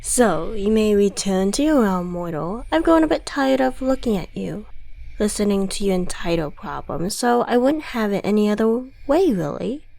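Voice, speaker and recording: A woman's voice: medium pitch, expressive, moderate pace, vocal fry, mellow. A woman playing an ancient devil in a scripted ASMR roleplay, theatrical, articulate and condescending, mockingly friendly with menace underneath. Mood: cold, gloating. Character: suave, gloating devil, centuries old, mockingly friendly, menacing.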